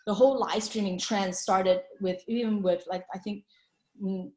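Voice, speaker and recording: A woman's voice: medium pitch, fairly even intonation, moderate pace, a little mellow. A woman talks through her slides on a video call, chatty and loose, full of fillers and restarts. Her voice sits mid-range, and a roomy echo hangs around it. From Hong Kong. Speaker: venture capital panelist. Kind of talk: presenting slides in an online panel.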